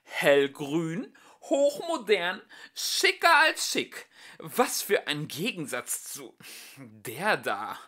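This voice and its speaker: A man voicing a vain, spiteful female house in a children's story, sneering and boasting loudly. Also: on the high side, big pitch swings, drawn-out words, voice pushed up, full character voice. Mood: boastful.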